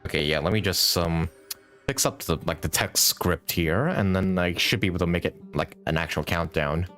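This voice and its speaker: A man's voice: low voice, normal speed, a bit bright, soft background music. A man doing casual live gameplay commentary, thinking aloud informally and repeating short phrases. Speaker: game livestreamer.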